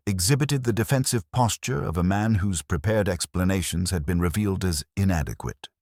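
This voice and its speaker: A man narrating a scripted mystery story in a formal, measured, storytelling manner. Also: sits low, darkish tone, no room sound, studio quality.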